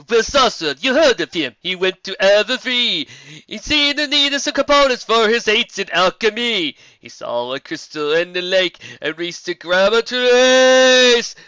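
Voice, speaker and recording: A man voicing a mysterious female zebra bard who sings her warnings in slow, drawn-out rhyme, on a dull lo-fi recording. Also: sits high, sing-song, bright, forceful tone, smooth, no room sound, pitched above the performer's own voice, full character voice. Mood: ominous singing. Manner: sung, rhyming and slow.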